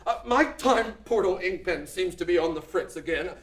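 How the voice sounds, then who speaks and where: A flustered, eccentric gentleman inventor from a radio play. He is formal and hesitant, with pitch that swings widely, heard a little off-mic in an echoing space. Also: expressive, moderate pace, recorded from a distance. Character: dithering, polite eccentric male time-travelling doctor. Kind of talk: audio drama acting.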